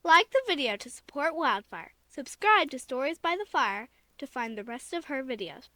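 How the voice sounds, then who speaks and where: A woman's voice: sits high, very animated, moderate pace, bright, forceful tone, no room sound. A female speaker delivering a short scripted sign-off message for a channel. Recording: music behind the voice. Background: music.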